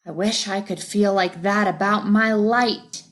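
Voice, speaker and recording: A woman's voice: mid-range voice, steady tone, unhurried, close to an everyday voice. A woman voicing a stubborn, self-conscious young female firefly in a children's storybook, with a snappish, dramatic manner. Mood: glum. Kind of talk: children's storybook read-aloud.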